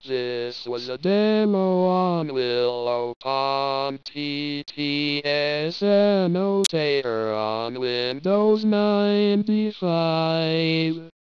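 A synthetic male text-to-speech voice playing a tipsy, drawling character in a robotic software demo. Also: a little high, very slow, few pauses, clear, resonant tone. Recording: dull lo-fi computer audio.

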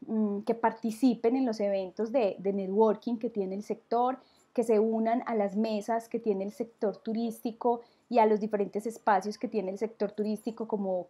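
A young woman giving tourism marketing advice on a video call. She speaks in a measured, explanatory way with many filler sounds, through a webcam and earphone mic in a small room. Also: medium pitch, warm tone. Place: small room indoors at home.

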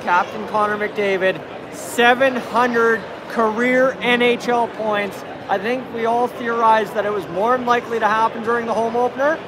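A man in his thirties talks through a game as a fan vlogger. His voice sits high for a man. He records on a phone in a busy arena entrance, with clear noise behind him. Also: steady tone, moderate pace, few pauses. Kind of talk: post-game sports commentary. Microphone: phone at arm's length. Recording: loud urban crowd and traffic noise.

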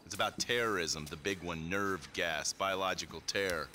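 An actor playing a glib, condescending government agent in a video game. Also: male voice, low voice, normal speed, pushed and bright, slight background noise. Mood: dramatic. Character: smug, flippant male government agent, mocking.

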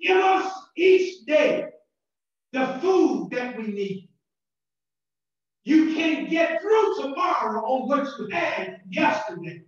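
Preaching pastor shouting in an echoey church. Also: male voice, extremely high pitch, sing-song, slow. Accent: Southern American. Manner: preaching with rising shouted emphasis and repetitions. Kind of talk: sermon. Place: church sanctuary. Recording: distant, very echoey and muffled church recording.

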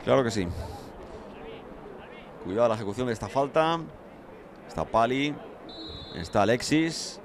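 A man's voice: medium pitch, expressive, unhurried, pauses often. A man with a Spanish accent from Spain giving live play-by-play football commentary. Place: outdoor football ground. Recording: outdoor stadium crowd noise behind. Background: crowd noise.

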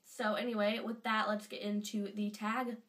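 A woman's voice: medium pitch, little pitch movement, moderate pace, fairly bright tone, distant mic. A teenage girl with a stuffy nose from a cold, gushing in a casual fan vlog from her bedroom. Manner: chatty and gushing, lots of fillers. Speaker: vlogger. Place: bedroom indoors. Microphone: webcam at desk distance.

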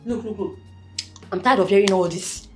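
An actress playing a brash, threatening pregnant young wife in a melodrama, ranting and stammering loudly. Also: female voice, mid-range voice, normal speed, faint music behind, close to an everyday voice. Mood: defiant. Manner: loud, defiant, dramatic, stammering rants. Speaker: actor. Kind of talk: melodrama acting.

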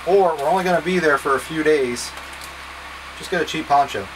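A man's voice: a little high, a little fast. Plain-spoken man instructing over background noise. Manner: plain-spoken, step-by-step, casually directive. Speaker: scout trip leader. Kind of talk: instructional packing talk to camera. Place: small wood-panelled boat cabin, indoors. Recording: steady background hum and noise.

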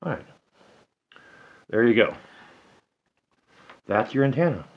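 A man's voice: mid-range voice, big pitch swings, slow pace, dull audio. A man casually explaining an antenna build at home while he works, chatting to himself and the radio as he goes. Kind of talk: casual how-to narration. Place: home, indoors at a table.

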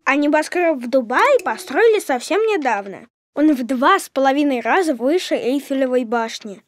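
A high, lively cartoon child pupil. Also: female voice, normal speed, no room sound. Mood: informative. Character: bright helpful child pupil in an educational cartoon.

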